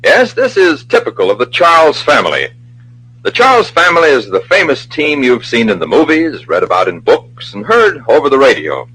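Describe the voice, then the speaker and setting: A male announcer in the 1940s radio promo manner. His voice is forceful and swoops expressively, with a slightly raspy edge and playful, theatrical phrasing on an old broadcast recording. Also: medium pitch, wildly swooping pitch, normal speed, rough recording.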